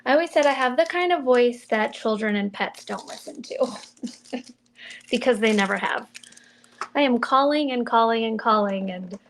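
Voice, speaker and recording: A middle-aged woman casually chatting through a crafting livestream, mild and soft-spoken as she thinks aloud while she works. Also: on the high side, moderate pace, a little hiss or hum. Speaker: card-making demonstrator.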